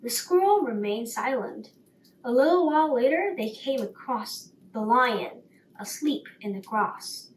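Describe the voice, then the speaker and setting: A woman's voice: a little high, expressive, a little slow. A woman reading a bedtime story aloud as a narrator. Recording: roomy, distant mic, faint noise.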